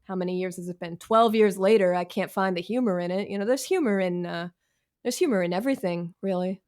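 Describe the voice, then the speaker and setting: Woman, guest author, casual interview chat, candid, self-deprecating humour, mid-range pitch. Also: a little fast, darkish tone.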